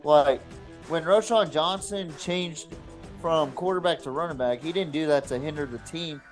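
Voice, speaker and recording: Male sports podcast host, moderately high voice, blunt and sweary casual talk, soft music underneath. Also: normal speed.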